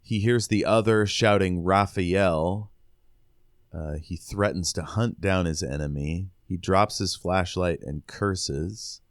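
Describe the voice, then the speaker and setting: A man co-hosting a podcast, casually recapping and discussing a book in a halting way. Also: low-pitched, no room sound, clean studio sound. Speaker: podcast co-host.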